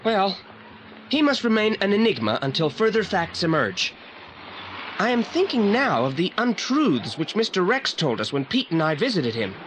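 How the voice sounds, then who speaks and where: A man's voice: high voice, very animated, normal speed, fairly bright tone. Brainy teen boy sleuth, formal wordy way of talking, kinda pompous, audio play, faint hiss. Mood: self-assured. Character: precocious teenage boy detective, pompous and self-assured. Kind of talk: 1980s audio drama acting. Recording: slightly noisy old audio play transfer.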